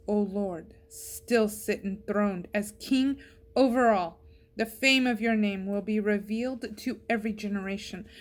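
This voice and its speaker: A woman reading a psalm aloud as a scripture reader. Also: medium pitch.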